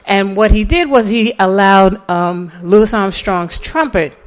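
A woman giving an informal lecture, telling stories in a halting way with deliberate emphasis. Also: mid-range voice. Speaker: lecturer and author.

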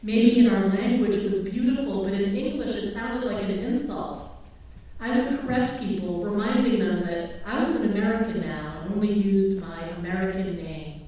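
A woman's voice: mid-range voice, dark tone. Woman reading her memoir, echoey room. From Philippines. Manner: measured, reflective reading. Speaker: author. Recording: echoey room, distant from the mic.

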